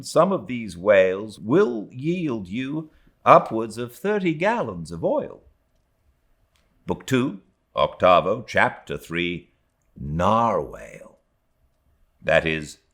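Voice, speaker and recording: A man with a British accent and a deep voice reads classic literature aloud. He takes his time, moving through the long sentences slowly. His delivery is theatrical, with pitch that rises and falls in a lively way. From Britain. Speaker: audiobook narrator. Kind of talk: literary audiobook narration.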